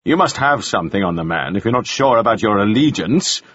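A man voicing a stern, calculating jailer who questions in a slow, probing way. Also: on the low side, big pitch swings, a bit bright, husky, dry recording, exaggerated voice. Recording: dull lo-fi recording.

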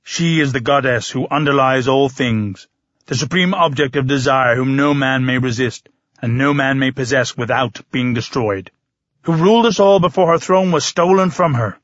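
A man's voice: medium pitch, moderate pace, fairly bright tone, no echo, highs cut off, pitched below the performer's own voice, lightly acted. Man playing a grandiose, sinister druid. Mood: reverent, incantatory. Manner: incantatory, grandiose. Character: sinister, fervent male druid, solemn and bitter. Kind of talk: audiobook character reading.

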